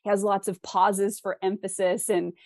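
A woman's voice: mid-range voice, little pitch movement, normal speed, a little mellow, dry recording. A woman speaking conversationally as an interview guest, explaining writing craft articulately with examples. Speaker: interview guest, fiction author.

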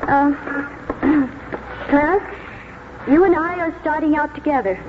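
A woman's voice: high-pitched, slow pace, background noise. An actress in a 1940s radio drama playing an eager, romantic young woman schoolteacher with an earnest, dreamy way of talking. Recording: tinny 1940s radio recording with music.

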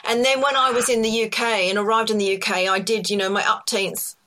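A woman's voice: mid-range voice, steady tone, fairly quick, pushed and bright. A middle-aged woman with an Australian accent, talking casually as an interview guest with many ums and restarts. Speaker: podcast guest, colour psychology expert.

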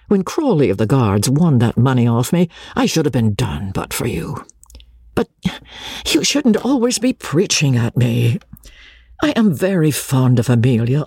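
A man voicing a hot-headed, haughty young army officer in a storybook reading, with old-fashioned gentlemanly speech. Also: medium pitch, slightly rough, no room sound, clean studio sound, full character voice.

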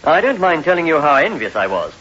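An actor playing a polite, talkative, genteel gentleman in an old radio drama, earnest and easily shocked. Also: a little high, very animated, fast, slightly rough, full character voice. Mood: earnest, defensive. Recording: hissy, muffled 1960s radio recording.

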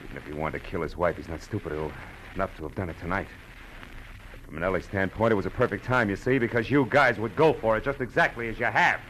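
An American actor playing a tough, wry private detective in a 1940s-style radio drama, with clipped, sardonic, slangy delivery. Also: male voice, on the low side, quick pace, a bit bright, lightly acted. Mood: urgent, insistent. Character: tough, wry male private detective narrating his case. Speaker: radio actor, lead and narrator. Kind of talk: hard-boiled 1940s radio drama acting. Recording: noisy vintage radio recording.

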